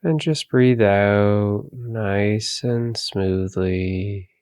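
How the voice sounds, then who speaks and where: A man guides a meditation in a fairly deep voice, recorded very dry and close. He speaks very slowly and gently, leaving long pauses between phrases. His manner is calm and soothing throughout. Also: smooth, dry recording.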